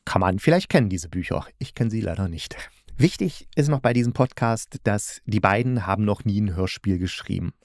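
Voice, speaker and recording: A man's voice: lowish voice, a little mellow, dry recording, studio quality. A man hosting a podcast, reviewing in a casual, chatty way and breaking into his own sentences with asides. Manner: chatty, self-interrupting asides. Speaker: podcast host reviewing audio dramas.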